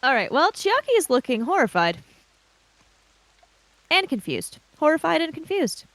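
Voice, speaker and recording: A woman chats casually over a tabletop game. Her voice is high and swings up and down in a lively way, recorded with little room sound. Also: normal speed, no echo. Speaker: tabletop role-playing participant.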